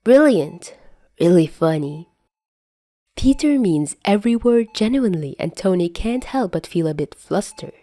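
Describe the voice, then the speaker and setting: A woman's voice: medium pitch, lively intonation, moderate pace, hardly any pauses, mellow, dry recording. A woman reading scripted fiction aloud as the narrator of an audio story. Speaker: podfic narrator.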